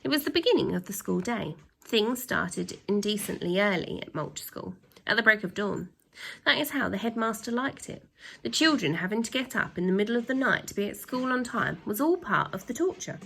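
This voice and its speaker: A woman reading a children's story aloud with dramatic emphasis, pausing to put questions to her young listeners. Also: mid-range voice, expressive, vocal fry, a bit bright, breathy edge, poor audio. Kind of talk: expressive storytime narration with asides to children.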